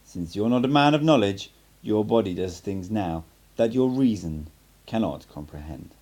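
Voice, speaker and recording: A man's voice: fairly low pitch, lively intonation, moderate pace, a little mellow. A man voicing an assured, cryptic sorcerer mentor in an audiobook, with an emphatic, instructive manner. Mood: solemn, emphatic.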